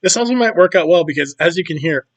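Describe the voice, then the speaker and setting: A man losing his voice, chatting casually and rambling while playing a video game, often clearing his throat. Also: a little high, expressive, fast talker. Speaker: gaming YouTuber. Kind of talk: casual commentary over gameplay.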